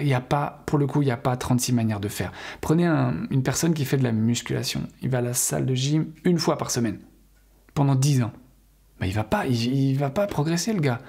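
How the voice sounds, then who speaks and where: A man's voice: medium pitch, gravelly, darkish tone, slightly rough. A middle-aged French man giving an encouraging spoken lesson to camera, earnest and full of fillers and restarts. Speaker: English teacher.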